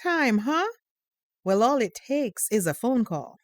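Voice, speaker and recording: A woman's voice: on the high side, sing-song, takes it slowly, no echo. An actress playing a caring mother of a teenager in an audio drama, affectionately teasing and nudging. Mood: playful, teasing.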